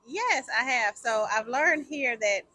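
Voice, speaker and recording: A woman from South Carolina, a military therapist, chatting in a relaxed, reflective way with frequent fillers, outdoors on a street. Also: high voice, a little slow. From South Carolina, United States.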